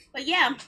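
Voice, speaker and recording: A woman's voice: extremely high pitch, pushed and bright. A woman game streamer chatting casually on a livestream in a playful, rambling way.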